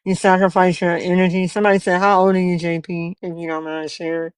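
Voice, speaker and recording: A man's voice: sits high, level tone, fast, clean tone, dry recording. A man in his twenties hosting a podcast, earnest and encouraging, telling stories from his own start as a barber. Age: 20s. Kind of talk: casual interview chat.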